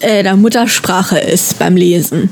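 A woman's voice: on the low side, unhurried, a little hiss or hum. A woman co-hosting a casual podcast chat, explaining things in a teasing manner. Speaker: podcast co-host.

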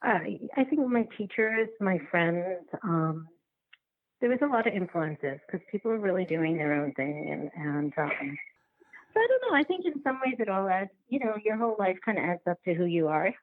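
A woman being interviewed as a filmmaker, talking reflectively and haltingly as she thinks aloud and rephrases. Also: fairly low pitch, animated, no echo. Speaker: guest, documentary filmmaker. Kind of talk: reflective podcast interview conversation. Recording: thin, phone-like line.

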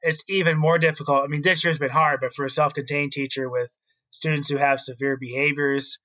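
A man's voice: on the high side, little pitch movement, brisk, sharp tone. A man co-hosting an education podcast as a school principal, explaining his opinions in casual talk.

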